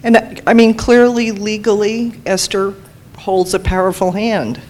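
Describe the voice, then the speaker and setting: A woman on a panel answering audience questions in a brisk, affirming way. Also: medium pitch, fairly even intonation, darkish tone. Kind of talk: panel discussion.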